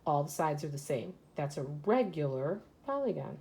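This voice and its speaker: A woman's voice: low-pitched, sing-song, moderate pace, a little mellow. A woman teacher reading a children's educational book aloud and explaining it to young pupils as she goes. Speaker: third grade teacher.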